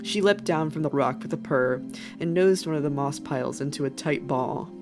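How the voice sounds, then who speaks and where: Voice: mid-range voice, normal speed. A narrator reading an animal adventure story as scripted narration. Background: faint background music.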